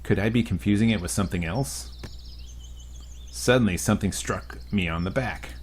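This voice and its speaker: A man's voice: on the low side, moderate pace, a little hiss or hum. A young man hosting a let's play, reading a visual novel aloud with crude joking asides.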